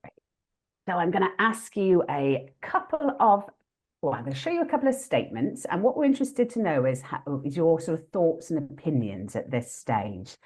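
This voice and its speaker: A woman hosting an interactive online teaching workshop, talking in a friendly, lively way with many fillers and restarts. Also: deep voice, moderate pace, husky. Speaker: workshop host.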